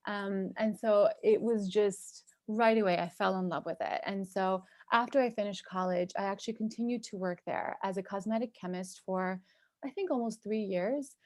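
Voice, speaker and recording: A woman's voice: mid-range voice, moderate pace, no echo, studio quality. A middle-aged woman from New York, a cosmetic chemist and entrepreneur whose first language was Turkish, telling her story in a chatty, upbeat way on a podcast. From New York, USA. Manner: chatty, upbeat, many ums and likes. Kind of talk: casual interview storytelling.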